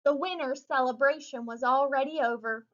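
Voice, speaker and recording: A woman reading a children's picture book aloud in an expressive way, with dramatic emphasis. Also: sits high, moderate pace. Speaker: story reader. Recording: muffled lo-fi recording.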